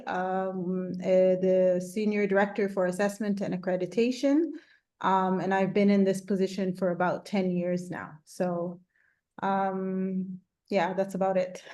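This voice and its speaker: A woman from Canada introducing herself on a video-call workshop in a relaxed, chatty way with many fillers. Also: medium pitch. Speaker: workshop co-facilitator. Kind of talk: self-introduction in online workshop.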